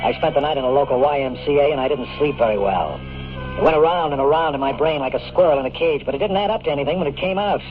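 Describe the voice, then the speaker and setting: A hard-boiled, sardonic male detective, played by a radio actor, talks fast in a slightly high register. His slangy noir delivery is weary and tough. It sounds like a thin, phone-like old radio recording with music behind it. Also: natural voice. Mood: wry, brooding. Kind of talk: 1950s radio drama acting, noir first-person narration.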